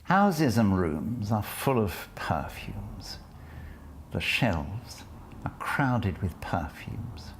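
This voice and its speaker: A man who introduces a poem and then reads it aloud, in a measured, deliberate way, letting phrase ends fall and slipping into soft whispers at times. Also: lowish voice, big pitch swings, slight background noise. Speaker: poetry reader and lecturer. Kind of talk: spoken introduction and poetry reading.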